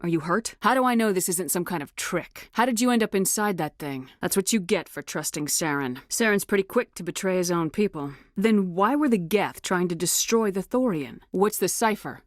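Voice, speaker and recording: A woman's voice: lowish voice, animated, normal speed, few pauses, no echo, studio quality, lightly acted. An actress playing a tough, resolute woman starship commander in a video game, speaking bluntly and with authority. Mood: serious, probing. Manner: blunt, authoritative, clipped questioning.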